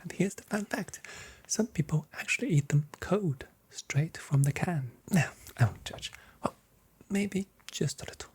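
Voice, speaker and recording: A soft-spoken ASMR performer plays a grocery shop assistant in a hushed whisper. The voice is extremely breathy and dark, with very little clear tone. The delivery is gently playful sales patter, meant to relax. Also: mid-range voice, expressive, unhurried.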